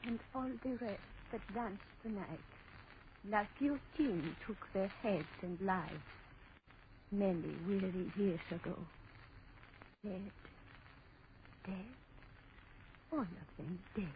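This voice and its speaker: A woman's voice: mid-range voice, slow pace, long pauses, close to an everyday voice. An actress playing a sinister old French woman in vintage radio horror, speaking in ominous, incantatory repetitions with heavy drama. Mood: grave, chanting. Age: older. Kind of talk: 1940s radio horror acting. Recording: muffled, noisy old radio recording.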